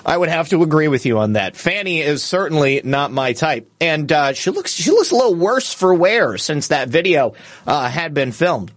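A man's voice: fairly high pitch, sing-song, normal speed. A man hosting a political livestream, giving opinionated news commentary with casual asides in a scornful, indignant, crudely humorous manner.

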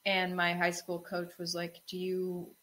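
A young woman co-hosting a casual podcast chat, telling relaxed stories and laughing often. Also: fairly low pitch, unhurried. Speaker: co-host.

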